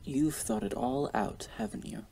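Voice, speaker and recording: A man playing a sober, learned survivor in a radio play, wary and reflective, speaking in measured, formal words. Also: medium pitch, gravelly, warm tone, slightly rough. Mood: wary, troubled. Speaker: actor and narrator in a radio play.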